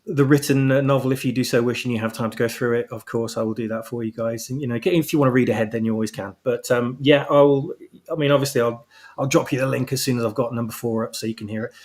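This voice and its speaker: A man with a medium-pitched voice talks as a guest in an informal conversation. His explanations wander, hesitating and restarting as he goes. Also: fairly even intonation, fast, darkish tone. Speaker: guest, fan fiction author. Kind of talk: casual interview chat.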